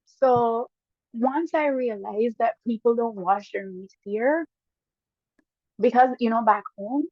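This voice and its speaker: A woman with a Guyanese accent, a guest casually telling stories with lively bursts and frequent laughs. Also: on the high side, dry recording. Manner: lively, laughs often, quick bursts when excited. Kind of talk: casual storytelling chat. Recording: dull lo-fi dry sound.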